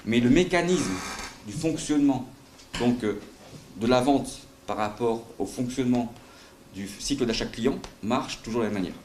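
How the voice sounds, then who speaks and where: A man's voice: medium pitch, normal speed, a little mellow. A middle-aged man with a southern French accent, giving a sales talk to an audience, with many "euh" and "donc" fillers. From France. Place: conference room with an audience. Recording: echoey room with faint noise.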